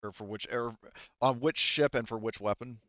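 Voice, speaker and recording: A man acting as game master of a tabletop roleplaying game, explaining rules and often pausing to think aloud. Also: medium pitch, fairly bright tone, dry recording, dull audio.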